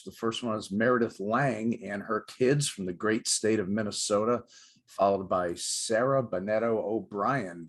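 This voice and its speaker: Low-pitched man co-hosting a sports show. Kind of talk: presenting with light banter.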